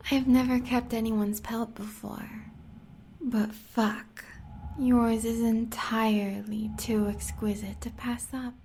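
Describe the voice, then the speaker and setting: A woman's voice: fairly high pitch, flat delivery, takes it slowly, long pauses, mellow, slight background noise. An American woman playing a sadistic, seductive huntress in an audio roleplay, taunting the listener in sultry half-whispers with cruel pet names. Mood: sadistic delight. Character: sadistic seductive werewolf huntress, villain's lover.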